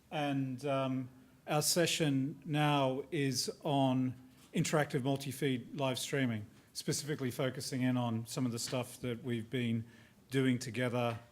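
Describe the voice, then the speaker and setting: A man introducing a conference session and his co-presenter, speaking in a relaxed way with a few hesitations. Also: medium pitch, a little hiss or hum. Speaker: session moderator.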